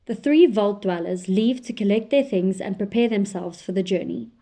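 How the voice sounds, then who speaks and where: A woman narrating an audio drama in an even, descriptive tone. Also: medium pitch, normal speed. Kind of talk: scripted narration.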